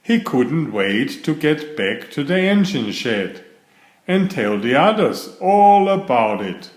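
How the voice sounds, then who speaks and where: A man reading a children's bedtime story aloud in a deliberate, expressive storybook manner. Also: medium pitch. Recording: roomy, echoing voice recording.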